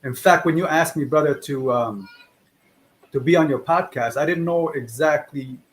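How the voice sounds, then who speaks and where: A middle-aged man from Chile answering as a podcast guest in a modest, earnest and measured conversational way. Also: a little high. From born in Chile. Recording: faint background noise.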